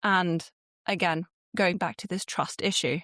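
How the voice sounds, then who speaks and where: A woman's voice: fairly low pitch, a little slow, a bit bright, no echo, clean studio sound. A woman speaking as a podcast host, interviewing in a conversational style.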